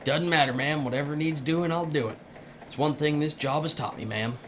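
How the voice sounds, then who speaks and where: An actor playing an obedient, ruthless underling in an audio drama, speaking in a clipped, deferential way. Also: male voice, a little high, brisk, fairly bright tone, dull audio, slight background noise, lightly acted. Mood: eager, obedient. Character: eager, servile male underling, obedient and ruthless.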